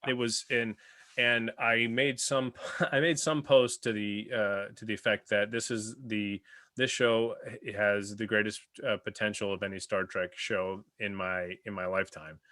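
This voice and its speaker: A man on a podcast panel, talking casually and enthusiastically, often halting to gather his thoughts. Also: fairly low pitch, fairly even intonation, pushed and bright, no echo, clean studio sound. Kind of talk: casual discussion.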